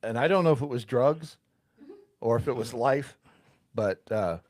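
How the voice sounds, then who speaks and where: A man in his sixties chatting casually on a talk show panel in a wry, deadpan way. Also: medium pitch, moderate pace. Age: 60s. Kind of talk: casual chat.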